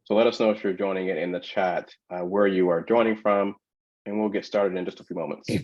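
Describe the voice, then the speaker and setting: Low-voiced male webinar host, muffled. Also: steady tone, fairly quick. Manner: warm, encouraging, lots of fillers. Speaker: host and workshop presenter. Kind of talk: welcoming conference webinar talk. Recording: dull lo-fi video call audio.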